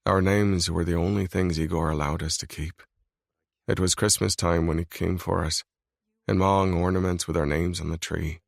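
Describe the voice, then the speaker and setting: A man voicing a brooding, controlled mafia boss in a scripted audiobook reading, low and grave. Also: little pitch movement, moderate pace, vocal fry, no echo, clean studio sound. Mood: grim. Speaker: male lead voice in audiobook.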